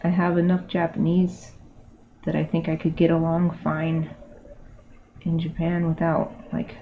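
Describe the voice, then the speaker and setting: Chatty woman, slightly low voice. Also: completely flat delivery, measured pace. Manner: chatty, laughs often, talks to herself while working. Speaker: digital artist livestreaming. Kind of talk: casual chat while drawing. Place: bedroom at home. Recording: dull lo-fi stream audio with faint hiss.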